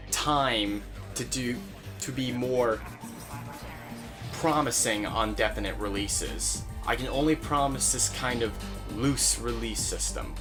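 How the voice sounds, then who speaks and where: A young man with a mid-range voice talks through a channel update in a casual, explanatory way. He takes things step by step and keeps it informal, with music playing behind him. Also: medium pitch. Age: young adult. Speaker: online audio series creator and host. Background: background music.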